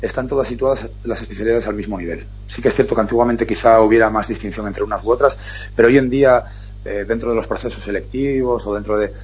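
A man hosting a radio talk show, explaining at length in an earnest, fast way. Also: on the low side, husky, lo-fi sound. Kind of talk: casual radio chat.

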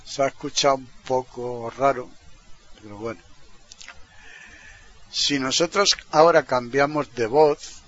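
Spanish man teaching software, muffled recording. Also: medium pitch, unhurried, long pauses, slight background noise. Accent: Spanish from Spain. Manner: explanatory, often ending phrases with a questioning tag. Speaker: tutorial presenter. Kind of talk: informal step-by-step software tutorial. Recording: dull, lo-fi computer microphone recording.

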